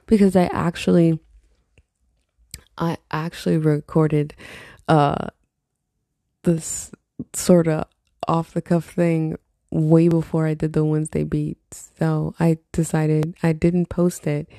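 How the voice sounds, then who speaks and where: Stuffy young woman rambling on her podcast, slow and laughy, dry close sound. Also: mellow, dry recording. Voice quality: low husky morning voice. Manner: rambling, laughs often, self-mocking. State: congested, stuffy. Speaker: podcast host. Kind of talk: casual solo podcast chat.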